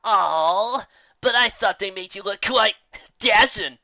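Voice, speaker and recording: A man's voice: sits high, slow pace, pushed and bright, no echo, muffled recording, voice pushed up, heavily acted. A man voicing a brash female griffon prankster in a story, nonchalant, sarcastic and teasing.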